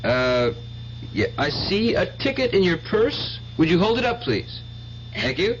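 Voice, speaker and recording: A man's voice: high voice, sing-song, measured pace, crowd noise. An actor playing a theatrical, pious and tormented mind reader with a declamatory showman's manner in a radio drama. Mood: grandiose showman. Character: fanatical stage mind reader, tormented, pious, self-righteous killer. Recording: dull noisy old radio broadcast with hum.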